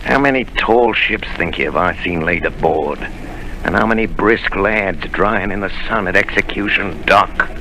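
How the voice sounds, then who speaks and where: Scheming pirate cook, gravelly, sharp-edged, 1930s radio play, hissy old broadcast. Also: male voice, deep voice, normal speed, rough recording. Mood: menacing. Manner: sly, persuasive, growling. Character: scheming one-legged pirate cook, older man. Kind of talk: 1930s radio drama acting.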